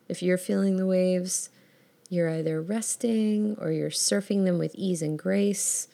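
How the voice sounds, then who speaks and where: A woman with a low, gravelly voice, scratchy today, hosting a podcast. She gushes warmly about her guest and leaves plenty of "um"s along the way. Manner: effusive, gushing, many fillers. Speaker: podcast host. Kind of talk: casual podcast chat.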